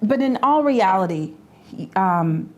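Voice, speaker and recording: A woman's voice: medium pitch, expressive, slow pace, a little mellow, dull audio. A Canadian woman in her forties, co-hosting a casual panel chat, earnest and stumbling over repeated words as she makes her point. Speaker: co-host.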